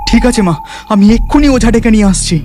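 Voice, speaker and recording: A man's voice: high voice, fairly quick, background noise, close to an everyday voice. A man voicing a mild young village husband and dutiful son in a cartoon. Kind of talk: cartoon voice acting.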